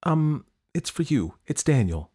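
Man voicing a concerned, firm mother, pitched up. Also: a little fast, darkish tone, close to an everyday voice. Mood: reticent. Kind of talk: audiobook character acting.

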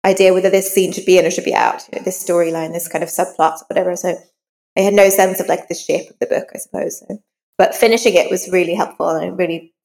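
A woman's voice: on the low side, steady tone, fast, a little mellow. Woman in a reverberant space, chatting informally. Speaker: interview guest, novelist. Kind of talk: conversational interview, personal anecdotes. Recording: long reverberant hall echo.

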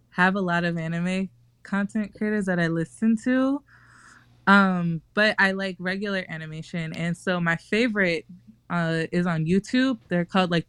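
A woman's voice: lowish voice, fairly bright tone, smooth, no echo. A young woman talking casually in a group podcast, chatty and full of fillers and restarts.

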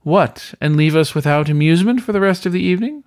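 A man's voice: sits high, animated, fast, a little mellow, no echo, studio quality, voice pushed up. A man voicing a suave, teasing weasel master of the house in an audiobook, with formal, old-fashioned, courtly phrasing. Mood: amused, inviting. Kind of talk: audiobook character voice.

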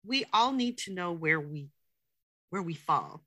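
A woman's voice: lowish voice, animated, unhurried, no echo. A woman from the United States hosting a podcast, affirming her guest often in a casual interview. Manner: warm affirming, many mm-hmms. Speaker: podcast host. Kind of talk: casual interview and show outro.

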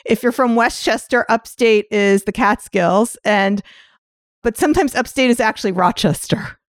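A woman hosting a podcast interview in a chatty style, jumping between quick asides and her next question. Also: on the high side, no room sound, studio quality. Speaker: podcast host. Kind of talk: casual interview chat. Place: New York.

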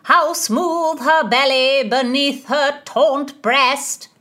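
Lady doing a puckish old lord, loud and theatrical, stretching out bawdy verse, raised pitch, audiobook. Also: steady tone, faint music behind, exaggerated voice. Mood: bawdy, declaiming. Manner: bellowing, drawn-out theatrical declamation.

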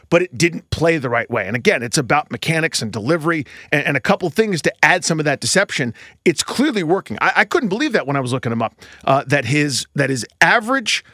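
Fast, animated man talking baseball. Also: on the high side, no room sound, studio quality. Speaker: sports podcast co-host. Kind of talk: casual baseball analysis and banter.

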